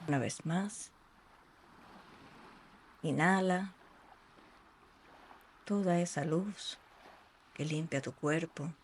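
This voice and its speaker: A meditation guide speaking in a soothing, unhurried way with long pauses between short phrases. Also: mid-range voice, a little hiss or hum. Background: ambient sea sounds.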